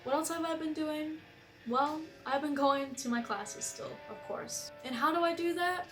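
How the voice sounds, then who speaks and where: A woman's voice: sits high, moderate pace, a bit bright. Chatty young woman vlogging with music. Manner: chatty, asks questions. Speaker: student vlogger interviewing friends. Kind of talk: casual vlog narration.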